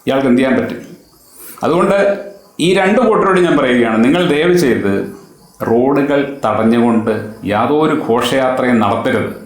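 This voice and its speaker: A man's voice: mid-range voice, normal speed, pauses often. A man delivering an admonishing, emphatic opinion monologue straight to the public, posing rhetorical questions. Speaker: social commentator. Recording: very echoey, reverberant room.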